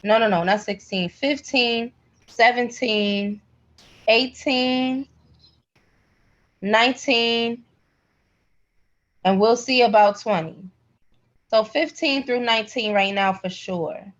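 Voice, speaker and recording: A woman's voice: on the high side, slow pace, long pauses, fairly bright tone. Woman teaching energy healing class, blunt emphatic everyday talk, bit lo-fi recording. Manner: direct, emphatic, colloquial. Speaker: class instructor, pendulum energy healer. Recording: slightly dull, lo-fi call audio.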